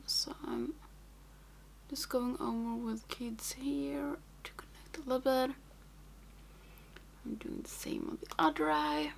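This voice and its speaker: A young woman talks slowly through her makeup routine in English, which is not her first language. Her fairly high voice carries a gravelly fry, and she drifts into whispers while concentrating. Recorded on a camera close in front of her, in a small room at home. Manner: rambling, thinks aloud, drops to whispers while working. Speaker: makeup vlogger. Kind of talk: casual tutorial chat.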